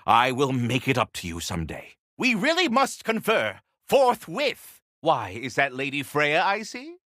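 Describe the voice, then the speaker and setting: An actor playing a polite, fussy talking squirrel in a video game, with courtly, formal and theatrical phrasing. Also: male voice, medium pitch, sharp tone, no room sound, clean studio sound, exaggerated voice. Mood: courteous, earnest. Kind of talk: video game character dialogue.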